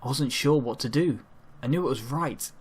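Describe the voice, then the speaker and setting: A man narrating a first-person story dramatically, in an earnest, measured and subdued way, as an amateur reader. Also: medium pitch, breathy edge.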